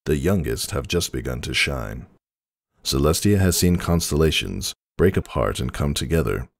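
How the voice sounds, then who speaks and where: A man narrating a story dramatically in a measured, solemn manner. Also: a bass voice, lively intonation, no room sound, clean studio sound.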